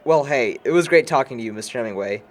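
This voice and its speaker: A man's voice: mid-range voice, lively intonation, fast talker, close to an everyday voice. A man acting a bookish, dry-witted young man in an amateur audio drama, talking in a formal, wordy way. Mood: reflective. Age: young adult.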